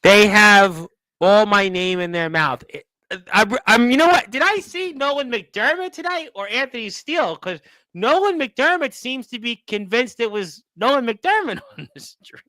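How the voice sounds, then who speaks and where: A man with a bright, forceful voice set high in pitch hosts a wrestling radio show. The sound is dry and close. He talks casually, reading viewer comments aloud and reacting to them as they come in. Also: expressive, normal speed, no echo.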